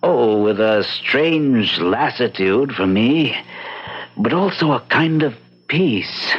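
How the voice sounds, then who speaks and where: A man playing a haunted, melancholy narrator in an old-time radio drama, speaking in a dreamy, deliberate, theatrical way on a muffled recording. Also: mid-range voice, lively intonation, slightly rough. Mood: relieved. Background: loud dramatic music.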